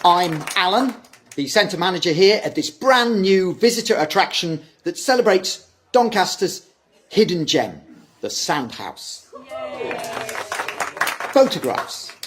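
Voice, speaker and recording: A man's voice: sits high, sing-song, slow pace, long pauses, noisy background, full character voice. A man playing a welcoming, showy visitor-centre manager in an audio drama, effusive and presentational like a tour guide.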